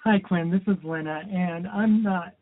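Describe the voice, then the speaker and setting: A woman's voice: on the low side, a little slow. A woman from California co-hosting a radio show and asking questions casually. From California, United States. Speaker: radio co-host. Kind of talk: casual interviewing. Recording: tinny phone-like line.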